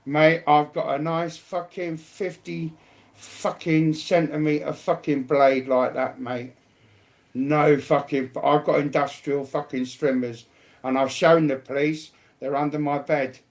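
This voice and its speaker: A man's voice: on the high side, little pitch movement, a little slow. A man chatting casually in a blunt, combative, slangy way with heavy swearing. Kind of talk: casual, heavily sweary chat.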